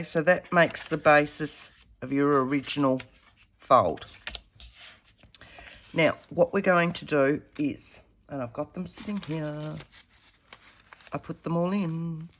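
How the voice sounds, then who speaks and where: A woman, slightly croaky from a head cold, chatting casually as she demonstrates a paper craft while working with her hands. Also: deep voice, a bit bright, slight background noise. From Australia. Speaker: craft tutorial host.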